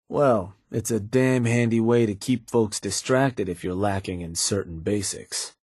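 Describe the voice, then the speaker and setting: An actor playing a shrewd, easygoing American raider lieutenant in a video game, explaining things to his boss in a laid-back, folksy, measured way with a slightly rough voice. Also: lowish voice, darkish tone, dry recording, studio quality. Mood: wry, sly. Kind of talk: video game character dialogue.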